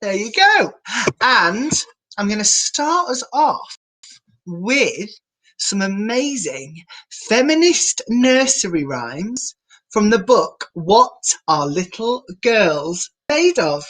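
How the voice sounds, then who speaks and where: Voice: mid-range voice, big pitch swings, slow pace, a bit bright, poor audio. A drag queen storyteller presenting a children's storytime in a theatrical way, speaking directly to young listeners and adults.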